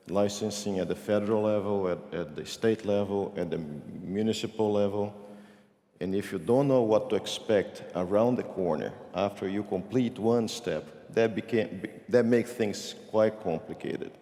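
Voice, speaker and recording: A man with a fairly low voice answers as a conference panelist, walking through his points one by one with small restarts. He sounds measured rather than rushed. His voice carries the long reverb of a large conference hall. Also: mellow.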